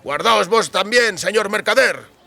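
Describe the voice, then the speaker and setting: A man's voice: high voice, expressive, measured pace, vocal fry, sharp tone, pitched above the performer's own voice, full character voice. A man voicing a brash, jovial mercenary soldier with a hearty, folksy way of talking in an audiobook. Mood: friendly. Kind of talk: audiobook character acting.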